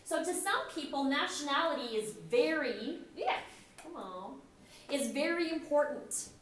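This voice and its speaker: A woman's voice: sits high, animated, a little slow, slight background noise. A middle-aged woman from the United States teaching a class in a conversational way, often acting out the remarks she quotes. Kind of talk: recorded classroom lecture. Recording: echoey room.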